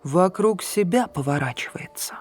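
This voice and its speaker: A woman narrating a fairy tale in an unhurried, hushed manner, at times softly whispery. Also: lowish voice, animated. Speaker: audiobook narrator. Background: soft background music.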